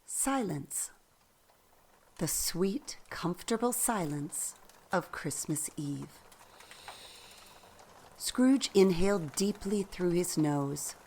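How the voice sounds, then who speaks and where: Low-voiced woman narrating slowly. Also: a little hiss or hum. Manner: expressive storytelling, deliberate. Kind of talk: scripted radio play narration.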